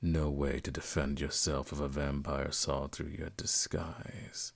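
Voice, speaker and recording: A man playing a suave, predatory vampire lord in an ASMR roleplay, teasing and condescending, seductive and sometimes breathy. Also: flat delivery, heavily acted. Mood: mocking. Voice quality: deep, creaky, breathy at times. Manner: slow, teasing, drawn-out, condescending. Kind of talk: ASMR roleplay audio drama. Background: music.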